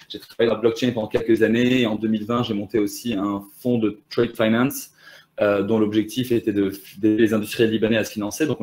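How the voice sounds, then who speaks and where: A man's voice: on the low side, darkish tone, slight background noise. A man in his thirties from Lebanon and Canada, a startup founder explaining his business in an interview, fluent but full of hesitations and restarts. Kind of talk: conversational interview answers.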